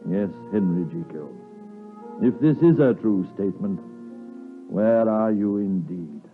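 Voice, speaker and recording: A British man playing a sober, measured gentleman investigator in a 1950s radio drama. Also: lowish voice, lively intonation. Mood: grave discovery. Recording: muffled vintage radio broadcast, music behind.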